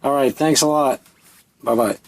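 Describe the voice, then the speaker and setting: Man, listener voice message, plain halting delivery, mid pitch. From Louisiana, USA.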